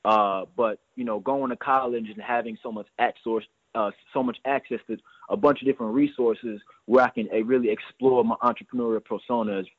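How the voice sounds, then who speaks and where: A man's voice: medium pitch, fairly even intonation, a little fast, slightly rough, no echo. A young man in his twenties from New York, a guest talking earnestly on a phone interview, often repeating his words as he goes. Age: 20s. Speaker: guest entrepreneur. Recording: dry tinny phone line.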